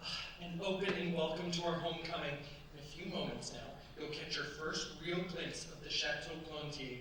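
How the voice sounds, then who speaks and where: An actor playing an eager, doting young French bridegroom in a staged radio play, with a courtly, effusive manner. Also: male voice, fairly high pitch, fairly even intonation, moderate pace, natural voice. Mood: proud, enthusiastic. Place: staged performance in a cultural centre. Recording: very echoey hall, distant mics, faint hiss.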